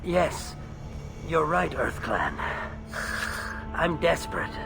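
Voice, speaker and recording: A man's voice: a little high, big pitch swings, slow pace, gravelly, over music, full character voice. A man acting as a shifty, nervous alien merchant in a video game, with a wheedling, hesitant, theatrical delivery. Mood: anxious.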